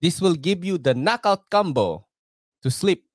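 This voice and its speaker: A man's voice: high-pitched, expressive, measured pace, no room sound, clean studio sound. A man hosting a live podcast, casually reading sponsor ads with slang, cursing and laughter. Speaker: podcast host.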